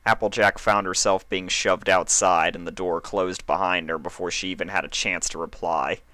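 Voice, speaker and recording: A man's voice: deep voice, fairly even intonation, moderate pace, sharp tone, no echo. A man reading scripted story narration as the narrator. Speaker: narrator of fan fiction.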